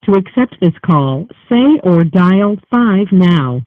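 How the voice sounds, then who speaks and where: A woman's voice: on the low side, expressive, takes it slowly, no echo. Robot-ish phone system lady reading call prompts, tinny phone sound. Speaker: automated phone system announcer. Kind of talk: scripted automated prompts. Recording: tinny narrow phone line.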